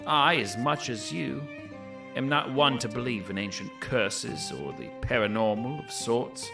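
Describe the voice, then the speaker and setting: A man's voice: mid-range voice, expressive, a little slow. An actor playing a scholar voicing his own warning letter in an audio drama, formal and ominous. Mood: grave. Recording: distant voice under clear music.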